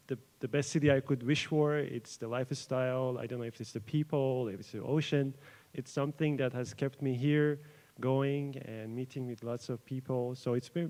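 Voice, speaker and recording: A man's voice: mid-range voice, darkish tone. Hesitant young man from Iran presenting. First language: Persian. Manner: hesitant, frequent fillers and restarts. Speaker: doctoral researcher giving a conference talk. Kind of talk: informal academic presentation. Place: event room at a live summit. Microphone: microphone adjusted at the podium.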